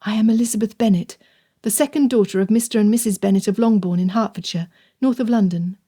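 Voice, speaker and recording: A woman voicing a poised, well-spoken young gentlewoman who is earnest and quick to indignation. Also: mid-range voice, slightly rough, close to an everyday voice. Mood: composed.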